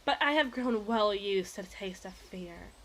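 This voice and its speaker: A woman's voice: medium pitch, lively intonation, moderate pace, fairly bright tone, slight background noise, rough recording. A woman playing a possessive, imperious evil queen in an ASMR roleplay, speaking in formal, teasing, theatrical diction. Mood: predatory, coaxing.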